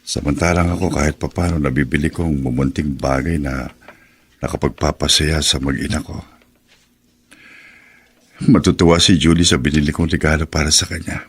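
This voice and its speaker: A man's voice: extremely low pitch, fairly even intonation, normal speed, long pauses, breathy edge. An actor playing a cheerful, doting working-class father with a Filipino accent in a radio drama. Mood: musing.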